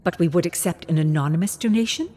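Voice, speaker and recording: A woman's voice: lowish voice, fast talker, darkish tone, slightly rough. A woman voicing a determined young female gamer, an earnest, pleading and defensive daughter in a narrated story.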